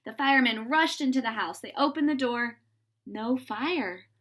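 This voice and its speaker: A woman reading a children's story aloud in an animated, dramatizing way, with pauses for suspense. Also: a little high.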